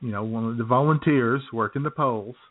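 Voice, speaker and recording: A man co-hosting a chat show, telling a story in a relaxed way, often chuckling and clearing his throat. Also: medium pitch, a little fast. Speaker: podcast co-host. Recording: phone-like lo-fi audio.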